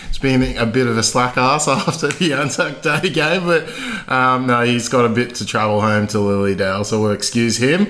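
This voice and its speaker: A man's voice: medium pitch, normal speed, a bit bright, slight background noise. An Australian man hosting a sports podcast, chatty and upbeat, laughing as he talks. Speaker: podcast host. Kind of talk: casual podcast chat.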